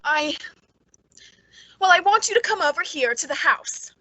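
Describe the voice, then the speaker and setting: A woman's voice: very high-pitched, expressive, normal speed, pushed and bright, no echo, heavily acted, low-quality recording. An actress playing a nervous, secretly bitter woman with a hesitant, pleading manner in an old-time radio suspense drama. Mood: urgent, pleading.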